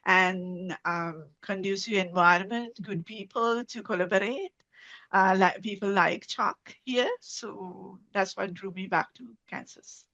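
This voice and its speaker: A woman with a Sri Lankan accent answering as an interview guest, halting and repeating words as she goes. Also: mid-range voice, pushed and bright, no echo. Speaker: guest, soil science researcher. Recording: dull, lo-fi sound.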